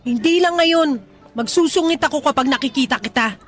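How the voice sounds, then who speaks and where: A woman's voice: sits high, moderate pace, natural voice. A woman playing Jack, a prickly tomboy. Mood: annoyed. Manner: curt, scornful retorts. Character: brusque tomboyish young woman, prickly and irritable. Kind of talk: radio drama acting.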